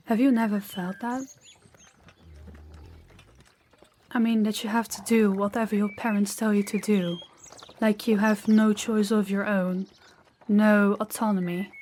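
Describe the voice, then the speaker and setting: A woman's voice: medium pitch, darkish tone, natural voice. Woman acting guarded young princess, birdsong noise behind. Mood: resigned, confiding. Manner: measured, formal, slightly awkward small talk. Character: proud, guarded young princess, formal but softening. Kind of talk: intimate audio roleplay acting addressed to the listener.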